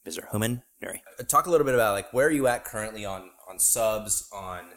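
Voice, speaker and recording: A man's voice: lowish voice, lively intonation, fast talker, fairly bright tone. A man hosting a podcast interview, talking loosely with lots of fillers, restarts and swearing. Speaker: podcast host. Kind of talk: casual interview chat.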